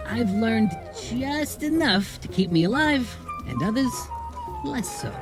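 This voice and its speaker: Swooping, streetwise goblin bartender. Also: male voice, very high voice, lively intonation, takes it slowly, exaggerated voice. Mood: wry sympathy. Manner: slangy, familiar, calling people kid. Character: wry streetwise goblin bartender, a small male fiend. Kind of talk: noir audio drama acting. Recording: music playing behind the voice. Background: music.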